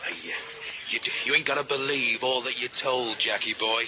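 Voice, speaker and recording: A man's voice: mid-range voice, fairly even intonation, moderate pace, noisy background, natural voice. An actor playing a brash, pugnacious young village man with a rural southern English accent in a radio play, cocky and blustering. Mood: defiant. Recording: music behind the voice.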